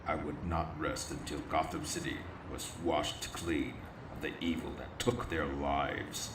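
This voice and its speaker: Man voicing a very deep, grim vigilante. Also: fairly even intonation, unhurried. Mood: solemn, resolute. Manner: terse, brooding, solemn delivery. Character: grim, driven male vigilante crime-fighter, brooding and relentless. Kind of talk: audio drama with brooding inner narration. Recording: roomy echo, distant, clear background noise.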